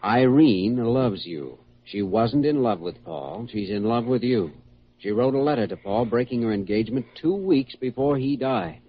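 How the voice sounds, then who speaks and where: An actor playing a calm, authoritative American doctor in a classic radio drama, speaking in a measured, reassuring way. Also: male voice, medium pitch, husky. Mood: firm, reassuring. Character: calm, authoritative male doctor guiding a hypnotized patient. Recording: dull, lo-fi old radio recording.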